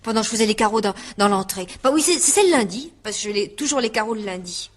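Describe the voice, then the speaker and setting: Woman acting young housemaid, radio drama, medium-pitched, gossipy, naive, colloquial, hesitant then confiding. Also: lively intonation, fast, natural voice. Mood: chatty, wary.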